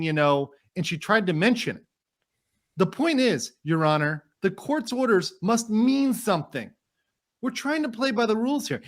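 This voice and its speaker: A man doing a dramatic reading as an indignant, courteous trial lawyer, formal and emphatic as he argues to a judge. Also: high voice. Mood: outraged.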